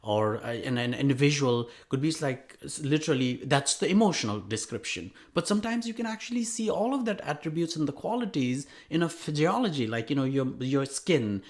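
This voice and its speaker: Halting man explaining as a guest expert. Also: on the high side, animated. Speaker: guest expert, Ayurvedic doctor. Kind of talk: conversational explanation.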